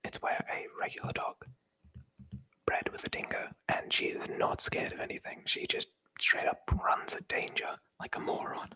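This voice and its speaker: Someone whispering in a breathy voice, reading aloud from a book in an ASMR style with chatty, informal commentary. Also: normal speed, sharp tone, rough recording. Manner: chatty, informal, swears casually. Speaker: presenter reading aloud from a tabletop RPG book.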